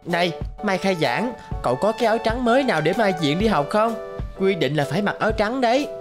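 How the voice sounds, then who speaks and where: Woman playing a young schoolboy, casual, friendly, loyal, animated cartoon, music behind. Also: mid-range voice, brisk, close to an everyday voice. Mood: friendly chatter. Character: good-natured schoolboys, poor and loyal friends. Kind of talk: cartoon voice acting.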